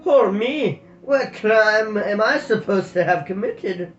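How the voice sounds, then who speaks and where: Man playing a roguish Borders squirrel swordsman, loud and cheeky, in an echoey lo-fi room. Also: high-pitched, unhurried, soft background music, pitched above the performer's own voice. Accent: Scottish Borders. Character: tall powerful roguish male squirrel warrior. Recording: echoey room, dull lo-fi sound.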